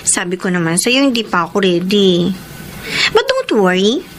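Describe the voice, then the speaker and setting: A woman's voice: medium pitch, huge pitch swings, moderate pace, slight background noise. An actress playing an affectionate but firm young woman in a Filipino radio drama, reasoning and protesting expressively. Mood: gently deflecting. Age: young adult. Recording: loud music under the voice.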